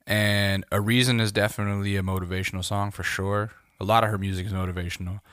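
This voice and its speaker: A man's voice: lowish voice, flat delivery, fast talker, a bit bright, no room sound, studio quality. A man hosting an interview in a casual, conversational way, with informal, slangy language and some swearing. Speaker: interview host.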